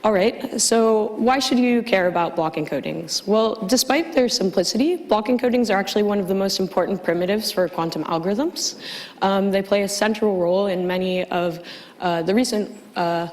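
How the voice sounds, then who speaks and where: A woman's voice: medium pitch, normal speed, a little mellow. Woman with a fading voice in a hall. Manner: hesitant, frequent ums and uhs. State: losing her voice. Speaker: conference speaker. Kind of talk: academic conference talk. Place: lecture hall.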